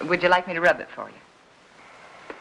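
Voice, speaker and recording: A woman's voice: lowish voice, lively intonation, unhurried, gravelly. An American actress playing a poised, spirited young woman in a 1950s film drama. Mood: soothing. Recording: faintly noisy 1950s film soundtrack.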